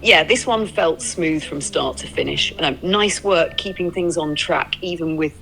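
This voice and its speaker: A woman chatting casually as a supportive, upbeat co-driver companion, with a slightly creaky voice. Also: low voice, unhurried, a bit bright, low-quality recording. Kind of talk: casual encouraging chat.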